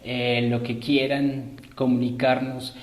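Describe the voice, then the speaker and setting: Slow-paced man, echoey room. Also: mid-range voice, flat delivery. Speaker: host of a live stream. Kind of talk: live-stream greeting and announcements to viewers. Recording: roomy echo.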